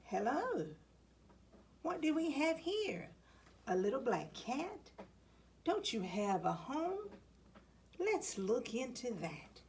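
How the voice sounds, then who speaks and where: A woman's voice: high voice, big pitch swings, takes it slowly, gravelly, long pauses, darkish tone, a little hiss or hum, voice pushed up, exaggerated voice. A woman reader voicing a kindly storybook stranger who soothes a lost little cat with reassuring phrasing. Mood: curious.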